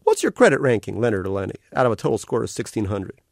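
A man voicing a cheerful electronic male otter, a carnival-bright official with a sing-song, salesman's cheer. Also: fairly low pitch, sing-song, fast talker, vocal fry, no echo, studio quality, full character voice. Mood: chirpy. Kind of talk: audiobook character voice.

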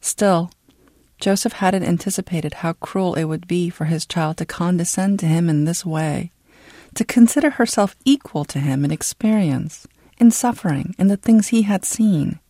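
A narrator reading literary fiction in a measured, dramatic storytelling way. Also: medium pitch, dark tone, no room sound. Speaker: audiobook narrator.

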